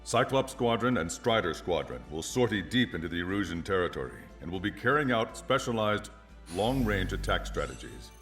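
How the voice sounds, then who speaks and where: An actor playing a composed, authoritative air force officer giving a formal, measured briefing in a video game. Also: male voice, sits low, big pitch swings, a bit bright, soft background music. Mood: composed, businesslike.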